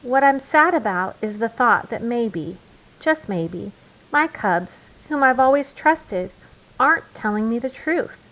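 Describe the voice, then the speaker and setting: A woman voicing a gentle, hurt mother bear in a storybook, speaking earnestly and with emphasis. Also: a little high, animated, measured pace, pitched above the performer's own voice, poor audio. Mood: sad, earnest.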